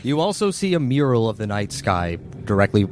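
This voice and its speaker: A man running a tabletop game as game master, narrating scenes in a measured, descriptive way and refereeing the rules. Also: fairly low pitch, very animated, darkish tone, slight background noise.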